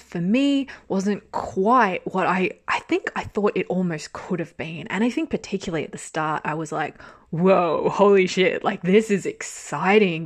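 Young woman, lower register, casual rambling reviewer with self-aware laughs. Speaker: drama reviewer.

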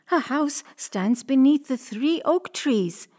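A woman's voice: sits high, expressive, slow, darkish tone, voice pushed up. A woman voicing a sweet, innocent little girl in a storybook, polite, trusting and wide-eyed.

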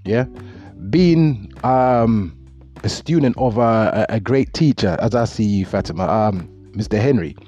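A man's voice: lowish voice, lively intonation, measured pace, mellow. A middle-aged man, earnestly giving advice in a reflective monologue, often repeating words as he talks. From Jamaica. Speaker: motivational speaker. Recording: dull lo-fi audio with faint music.

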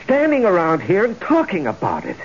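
A man's voice: sits high, wildly swooping pitch, fairly quick. An actor playing an anxious, ambitious husband and company man, stammering and pleading in a radio drama. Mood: agitated, impatient. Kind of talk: 1970s radio drama acting. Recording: dull, hissy old radio broadcast.

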